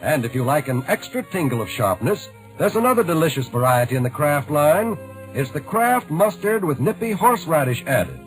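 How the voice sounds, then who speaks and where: A man's voice: on the high side, big pitch swings, moderate pace, soft background music, rough recording. A man reading a commercial as a 1940s radio announcer.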